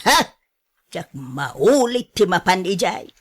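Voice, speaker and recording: A woman playing a blunt, disapproving mother of a grown son in a radio drama, scolding and complaining in a drawn-out way. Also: fairly low pitch, very animated, husky, exaggerated voice. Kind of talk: Ilocano radio drama acting.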